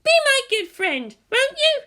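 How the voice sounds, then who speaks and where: Man putting on a very high, brash teenage girl. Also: huge pitch swings, measured pace, pushed and bright, full character voice. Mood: haughty, sugary. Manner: haughty, needling. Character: brash, bossy, flippant teenage girl pilot.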